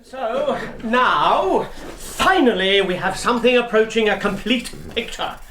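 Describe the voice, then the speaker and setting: An actor playing a tipsy, sardonic British intelligence officer in a radio drama, talking in a theatrical way. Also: male voice, high-pitched, expressive, measured pace, fairly bright tone, echoey room, a little hiss or hum.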